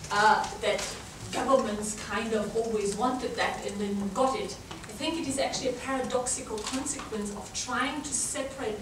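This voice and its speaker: A woman with a German accent giving an academic talk to students, often hesitating and restarting her sentences. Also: fairly high pitch. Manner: hesitant, many fillers and restarts. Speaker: guest lecturer. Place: large lecture room. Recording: echoey lecture hall from far off, background noise.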